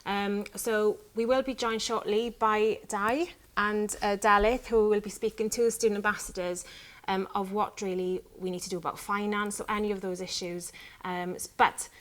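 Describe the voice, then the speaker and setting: A woman's voice: medium pitch, steady tone, normal speed, hardly any pauses. A woman hosting a live online show, upbeat and encouraging as she introduces guests and asks them questions. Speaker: host. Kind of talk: presenting a live stream show.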